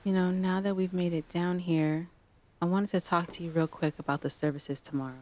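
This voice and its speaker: A woman playing a polite, cautious wife in an audio drama, speaking softly and hesitantly in conversation. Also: fairly low pitch, steady tone, fairly quick, lightly acted. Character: polite, cautious young wife preparing her partner.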